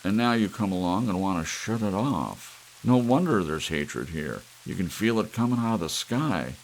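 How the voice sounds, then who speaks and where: A blunt psychologist, acted by a male reader, lectures with grave emphasis. The voice is low and creaky. It belongs to an audiobook drama, with faint rain and hiss behind. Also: lively intonation, normal speed, poor audio. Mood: stern, urgent. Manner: emphatic, admonishing. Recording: audible hiss with rain-like noise.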